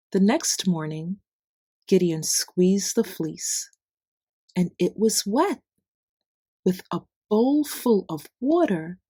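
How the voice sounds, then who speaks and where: A woman narrates a children's story at a very slow, deliberate pace. Her voice sits slightly low and moves in lively swings of pitch, giving key words clear emphasis. The sound is close and dry. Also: dry recording. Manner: deliberate, simple phrasing with expressive emphasis for children. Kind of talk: scripted read-aloud bedtime story narration.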